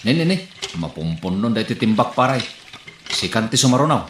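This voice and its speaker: A boastful but henpecked husband with an Ilocano accent, played by a man, with a lively voice that swings all over. He stammers and hesitates. The recording has room echo. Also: lowish voice, brisk, slight background noise. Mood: casual. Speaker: actor in a comedy skit. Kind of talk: comedy skit acting.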